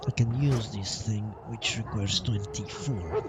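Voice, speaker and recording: A man's voice: lowish voice, a little slow, heavy background noise. A man streaming a game, thinking aloud in casual commentary, muttering to himself and hesitating as he goes. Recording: loud game music behind the voice. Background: loud video game music.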